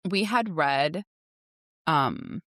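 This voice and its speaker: A woman's voice: deep voice, lively intonation, drawn-out words, a bit bright, no room sound, studio quality. A woman in her forties co-hosting a podcast in a casual, candid and emphatic chat. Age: 40s. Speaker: podcast co-host.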